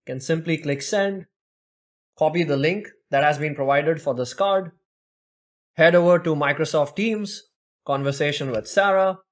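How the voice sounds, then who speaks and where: A young man narrating a step-by-step screen-recorded software demo. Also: on the high side. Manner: steady step-by-step walkthrough. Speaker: tech tutorial presenter.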